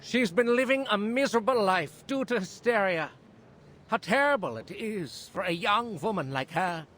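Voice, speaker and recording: A man's voice: high voice, lively intonation, normal speed, fairly bright tone. A man playing a showy physician in a video game, addressing an audience in a formal, grandiloquent, theatrical manner. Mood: grand, showy. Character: showy male physician presenting a demonstration to an audience. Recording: clear background ambience noise.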